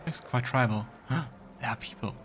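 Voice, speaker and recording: A man's voice: mid-range voice, normal speed, fairly bright tone, soft background music. A man hosting a let's play, commenting on the game and reading its text aloud.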